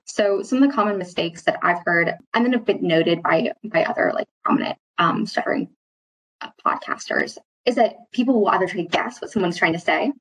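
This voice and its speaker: A woman with a slightly low, husky voice answers interview questions reflectively. She phrases things in a thoughtful, halting way, rephrasing as she goes, with a mild stutter that surfaces only occasionally. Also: very animated, rough recording. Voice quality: mild, mostly covert stutter with occasional repeated starts. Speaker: interview guest, employee speaking on stuttering.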